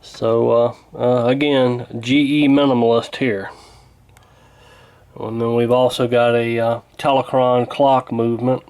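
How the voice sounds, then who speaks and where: A man's voice: mid-range voice, flat delivery, a little slow, a little mellow, clean tone. A man talking casually and hesitantly through a hands-on repair, in a folksy, unscripted way. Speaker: radio repair hobbyist, presenter.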